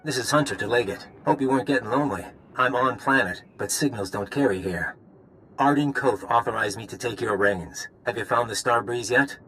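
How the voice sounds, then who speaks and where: A man's voice: medium pitch, brisk, a bit bright, slight background noise, natural voice, rough recording. Smug, taunting male spy handler played by an actor. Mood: smug, menacing. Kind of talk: video game voice acting.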